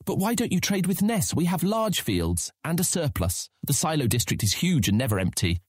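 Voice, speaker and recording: A man's voice: fairly high pitch, sing-song, normal speed, slightly rough, no echo, studio quality. A man playing a young guildmaster in a fantasy audio drama, earnest and formal, striving to sound authoritative. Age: young adult.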